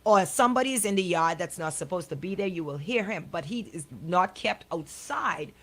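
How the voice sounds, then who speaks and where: A woman's voice: lowish voice, animated, a little fast, a bit bright. A middle-aged woman with a Caribbean accent, hosting casual radio chat in a colloquial, emphatic storytelling way. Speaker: radio show host.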